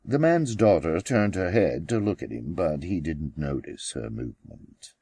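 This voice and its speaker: A man reading his own fiction as an audiobook, with deliberate, dramatic phrasing and pauses. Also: low voice, expressive, warm tone, husky, no room sound. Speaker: author reading own novel. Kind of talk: scripted audiobook narration.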